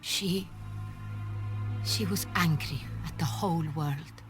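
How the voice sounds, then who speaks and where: Low-voiced regal noblewoman, music behind. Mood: sorrowful, reflective. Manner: formal, measured, eloquent. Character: poised, regal noblewoman ruling a fantasy duchy. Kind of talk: cinematic fantasy game dialogue. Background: prominent background music.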